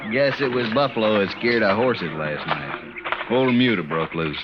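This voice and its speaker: An actor playing a hospitable, brisk cattle trail boss in an old-time radio western. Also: male voice, fairly low pitch, lightly acted. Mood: hearty. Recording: muffled, noisy old radio recording.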